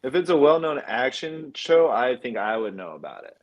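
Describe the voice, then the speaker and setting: A man co-hosting a casual film chat, talking in an informal, opinionated and halting way. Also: mid-range voice, big pitch swings, lo-fi sound. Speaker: podcast co-host.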